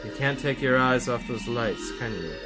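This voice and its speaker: An actor playing a vain, scheming hypnotist therapist in a radio mystery, with a deliberate, soothing yet commanding manner. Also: male voice, mid-range voice, over music, lightly acted. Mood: hypnotic, coaxing. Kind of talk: radio mystery drama acting.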